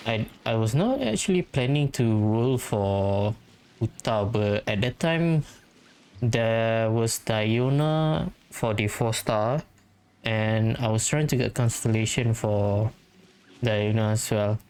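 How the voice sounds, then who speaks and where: A woman's voice: rumbling low, animated, takes it slowly, darkish tone. A woman giving casual gameplay commentary as the host of a gaming video. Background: faint game music.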